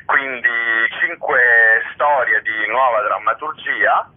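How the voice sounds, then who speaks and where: A man's voice: mid-range voice, slow pace, husky. A man answering interview questions as a theatre festival's artistic director, explaining things in an articulate, conversational way. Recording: narrow band, phone-like radio sound.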